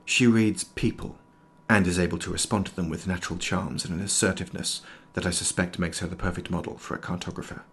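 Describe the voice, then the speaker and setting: A man playing an erudite, formal nineteenth-century doctor in an audio drama, speaking in precise, ornate sentences with a husky voice. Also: low-pitched, fairly even intonation, fast talker, hardly any pauses, a bit bright, full character voice. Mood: grave, introspective. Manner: precise, ornate, old-fashioned diction. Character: erudite, formal 19th-century doctor, inwardly fearful, sharp-eyed. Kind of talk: scripted audio drama, journal narration and dialogue.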